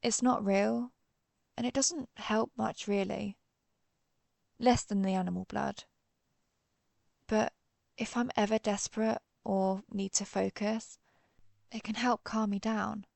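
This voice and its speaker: Woman acting a gravelly, guilt-ridden vampire huntress. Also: medium pitch, fairly even intonation, a little mellow, dry recording. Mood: weary, resigned. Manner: confessional, somber, measured storytelling. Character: centuries-old female vampire hunter turned vampire, guilt-ridden, resolute. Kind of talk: intimate dramatic monologue, audio roleplay.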